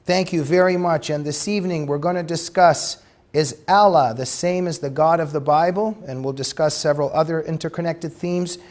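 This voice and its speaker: A man hosting a studio talk show, opening with a formal, measured, scripted introduction of his guest. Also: on the high side, steady tone, a little mellow. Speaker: show host.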